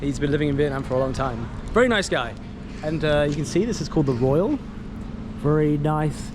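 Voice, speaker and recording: A man's voice: a little high, expressive. A young man with an English accent, chatting casually and upbeat as a travel vlogger outdoors on a city street. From England. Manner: upbeat, chatty, quick to laugh. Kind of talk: casual travel vlog chat. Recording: city street noise behind. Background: traffic and street ambience.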